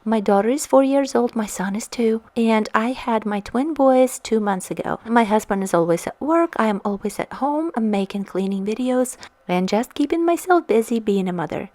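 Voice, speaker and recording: A young woman with a slightly hoarse voice, chatting casually and informally to viewers in a home vlog voice-over. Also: fairly high pitch, expressive, moderate pace, darkish tone, no echo. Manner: friendly, informal, addressing viewers directly. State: slightly hoarse, voice partly lost. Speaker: host of home cleaning vlog. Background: background music.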